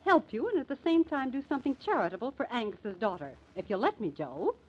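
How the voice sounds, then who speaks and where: An actress playing an earnest, scheming small-town society matron with a husky, theatrical delivery in an old-time radio drama. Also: female voice, on the high side, very animated, a little fast. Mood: persuasive, scheming. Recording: dull, muffled old radio recording.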